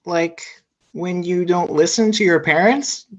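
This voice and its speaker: A woman's voice: lowish voice, measured pace, lightly acted. A woman playing an innocent, inquisitive little girl in a sitcom table read. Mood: innocent, puzzled. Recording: dull lo-fi call audio.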